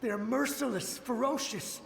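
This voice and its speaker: A frightened soldier's ghost in a fantasy game. His high voice is faltering, urgent and pleading. It rings with long, ghostly reverb over faint noise. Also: normal speed, vocal fry. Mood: desperate, urgent. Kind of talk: scripted game dialogue.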